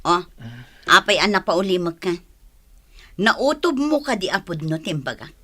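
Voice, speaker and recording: A woman's voice: lowish voice, sing-song, moderate pace, bright, forceful tone, heavily acted. A woman with an Ilocano accent playing a caring, anxious wife and mother in a radio drama. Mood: probing.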